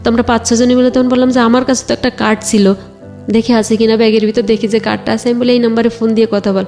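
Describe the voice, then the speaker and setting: A Bangladeshi woman telling her own life story conversationally, a little haltingly, quoting remembered exchanges. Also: a little high, flat delivery, a little mellow, soft background music. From Bagerhat area, Bangladesh.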